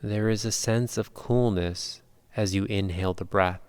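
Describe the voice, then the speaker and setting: A man leading a guided yoga nidra meditation in calm, measured, unhurried instructions with long pauses. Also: on the low side, clear, resonant tone, no echo.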